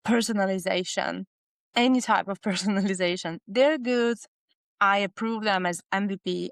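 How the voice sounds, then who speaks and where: A woman's voice: mid-range voice, dry recording. A woman from Bulgaria speaking English with a Bulgarian accent, a business psychologist in a casual podcast interview who talks in short, earnest listed fragments.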